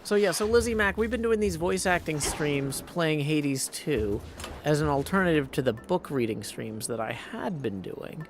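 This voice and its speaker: A man's voice: on the high side, animated, normal speed, slight background noise. A man streaming a video game, chatting casually and thinking aloud in a conversational way with his viewers. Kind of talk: casual livestream chat over gameplay. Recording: game music behind the voice. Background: video game music.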